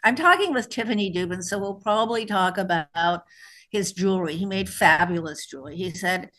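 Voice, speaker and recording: A woman's voice: mid-range voice, a little fast, fairly bright tone. Middle-aged woman writer, easy conversational delivery, talks freely about her work and life. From born in New Mexico, raised in New York. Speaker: author being interviewed. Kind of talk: conversational interview.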